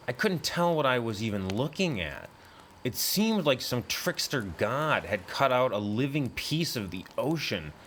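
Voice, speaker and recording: A man narrating a first-person horror story in a deliberate, brooding, suspenseful way, as in a dramatic audiobook. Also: medium pitch, a bit bright, a little hiss or hum.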